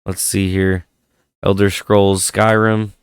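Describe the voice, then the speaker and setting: A man's voice: sits low, level tone, slow, clear, resonant tone, no echo, clean studio sound. A man hosting a casual hardware vlog, rambling and thinking aloud, his voice worn from a head cold. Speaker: tech channel host.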